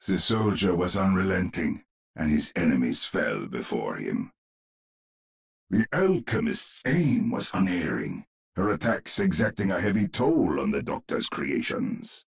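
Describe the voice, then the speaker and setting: An actor playing a grave old storyteller, narrating a gothic horror tale for a video game in a husky, gravelly voice with theatrical, ominous phrasing. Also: male voice, deep voice, big pitch swings, measured pace, heavily acted. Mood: ominous, measured.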